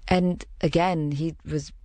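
A woman who grew up in the Middle East, giving a reflective, measured personal account in an interview and pausing to find her words. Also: low-pitched, fairly even intonation, dark tone, no room sound.

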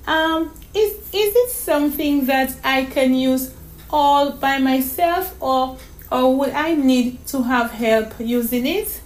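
Woman voicing a high-pitched, stammering, excited little girl. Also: takes it slowly. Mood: excited, flustered. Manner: stammering, repeats words, hesitant and eager. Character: excited, sweet young girl on her birthday.

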